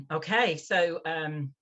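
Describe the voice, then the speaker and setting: A woman in her fifties with a Northern English accent speaks in a lowish voice. She chats casually as an interview guest, with plenty of fillers and restarts. The audio sounds dull and lo-fi, as if it came over a remote call. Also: extremely slow, a bit bright. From northwest England. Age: 50s. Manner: chatty, with many fillers and restarts.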